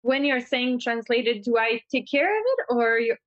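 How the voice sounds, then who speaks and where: Quebecois woman asking about booking software, lots of ums, higher voice, muffled. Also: animated, no room sound. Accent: Québécois French. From Quebec, Canada. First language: French. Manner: thoughtful, halting, with many fillers. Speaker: tour operator. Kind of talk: asking questions in a podcast chat. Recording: dry, dull-sounding mic.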